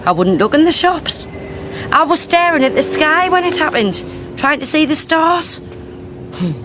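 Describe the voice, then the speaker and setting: Witness role, woman, working-class single mum, blunt and wry, lively voice, street noise around her. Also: high-pitched, unhurried. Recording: radio drama with street noise. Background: street ambience, passing cars.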